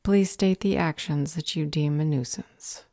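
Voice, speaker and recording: Fantasy roleplay council judge, female actor: low, dark voice, stern, curt and officious. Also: a little slow, dry recording, clean studio sound. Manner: formal, officious, commanding. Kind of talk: audio roleplay fantasy drama.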